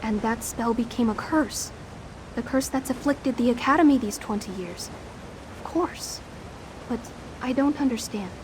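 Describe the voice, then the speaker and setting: A woman's voice: on the high side, unhurried, background noise, lightly acted. An actress playing a polite, bewildered young daughter grieving her mother in a video game, earnest and courteous. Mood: stunned, reflective. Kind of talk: dramatic video game dialogue.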